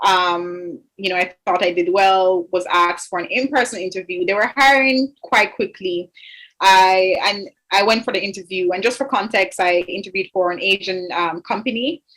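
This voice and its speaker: A woman's voice: mid-range voice, moderate pace, a bit bright, rough recording. A woman in her twenties from Jamaica, a guest in an interview, speaking earnestly with frequent fillers and restarts. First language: English. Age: 20s. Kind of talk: interview conversation.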